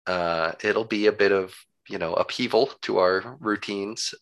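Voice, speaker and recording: A man with a deep, bright voice and plenty of vocal fry talks as an interview guest. He explains his work at length, in an upbeat and measured conversational way. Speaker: interview guest, town government employee.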